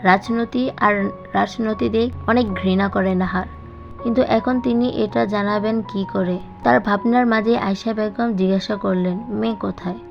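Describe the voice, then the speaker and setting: A woman's voice: mid-range voice, steady tone, a little slow, vocal fry. Female storyteller, scripted dramatic narration, slightly lo-fi sound, music bed underneath. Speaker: audiobook narrator. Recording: slightly band-limited, music bed behind. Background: background music.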